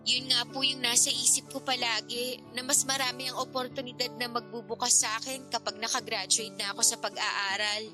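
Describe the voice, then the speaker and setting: An actress playing a humble, grateful young niece with a Filipino accent, polite and deferential, in a radio drama. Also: female voice, fairly high pitch, flat delivery, fairly quick, close to an everyday voice. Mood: earnest, hopeful. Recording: prominent music bed behind the voice.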